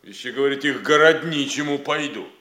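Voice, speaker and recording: A man's voice: medium pitch, a little fast, sharp tone, lightly acted. Actor as a grumbling, insolent servant man, roomy sound. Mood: defiant, needling. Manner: grudging, folksy, talks back. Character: grumbling, insolent, lazy male servant, sullen and stubborn. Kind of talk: classic Russian stage comedy acting for audio play.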